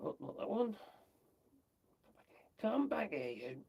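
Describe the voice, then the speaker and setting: Guy rambling thru the news on a stream, bit high, gravelly, losing his voice. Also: sing-song, slow, a little mellow. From United Kingdom. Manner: rambling, chatty, asks rhetorical tag questions. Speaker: livestream host. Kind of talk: casual commentary on news.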